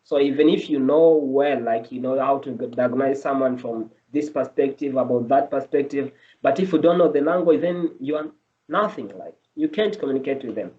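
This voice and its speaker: A man's voice: medium pitch, normal speed, mellow. A man answering interview questions in English, his second language, earnest and repeating himself as he explains. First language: not English. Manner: earnest, repeats and restarts phrases. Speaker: medical student guest. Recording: dull lo-fi audio.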